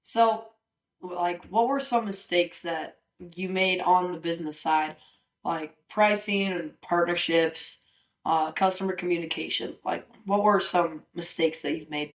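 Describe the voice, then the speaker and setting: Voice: mid-range voice, steady tone, unhurried. A host asking short, upbeat interview questions in a casual way.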